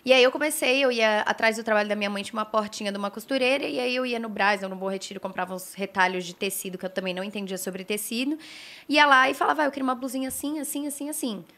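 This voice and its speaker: A woman's voice: mid-range voice, fast, sharp tone. A young woman with a Brazilian accent and a pulled Brasília r, telling stories casually and informally as an interview guest. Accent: Brazilian, with strong Brasília r. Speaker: guest, fashion brand founder. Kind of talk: casual conversational storytelling.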